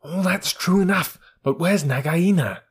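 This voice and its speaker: Man reads a brave little mongoose, raised pitch, husky, sharp and impatient. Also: unhurried, exaggerated voice. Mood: impatient, urgent. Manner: sharp, urgent and commanding. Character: small brave male mongoose, fierce and determined.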